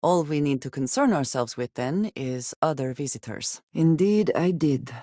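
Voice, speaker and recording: An actress playing a guarded, earnest woman space adventurer in a video game, speaking in measured, formal phrases with few contractions and a dry wit. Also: low voice, expressive, warm tone, dry recording. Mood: composed, serious. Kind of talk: video game character acting.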